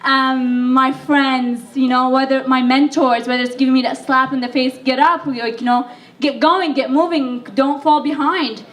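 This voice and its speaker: Young woman from Libya, a bit under the weather, chatty talk with fillers, high voice, distant mic. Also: steady tone, moderate pace. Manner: chatty, self-deprecating, with fillers. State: sick. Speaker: speaker. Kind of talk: speech to an audience. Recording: voice from far off the mic.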